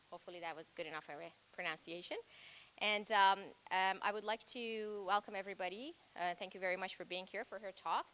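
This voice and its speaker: A woman's voice: mid-range voice, bright, forceful tone. A woman hosting a lecture, politely introducing the guest speaker with some fillers. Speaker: lecture host.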